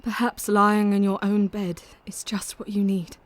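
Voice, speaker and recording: An actress playing a devoted, anxious young Victorian wife in a gothic audio drama, speaking tenderly and earnestly with formal period phrasing. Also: female voice, medium pitch, level tone, measured pace, no room sound, lightly acted. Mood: tender, reassuring.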